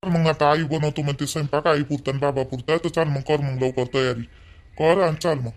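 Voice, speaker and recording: A man's voice: on the high side, steady tone, brisk, close to an everyday voice. A man with a Vidarbha Marathi accent playing a young newly married husband in a village cartoon, blunt, bossy and impatient.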